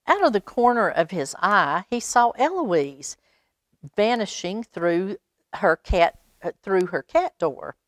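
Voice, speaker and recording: A woman reads a children's picture book aloud, adding expressive, chatty asides for young listeners. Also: medium pitch, slow pace, no echo, studio quality. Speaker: library storytime reader.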